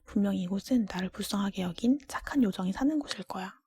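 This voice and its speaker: A woman voicing a humble, polite merchant father from a storybook in a breathy whisper. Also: medium pitch, quick pace, mellow. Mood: hopeful musing.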